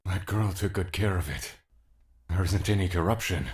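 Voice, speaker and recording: A man playing a commanding, measured keeper of spirit shards in an audio drama, speaking tersely. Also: low voice, natural voice. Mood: calm, resolute.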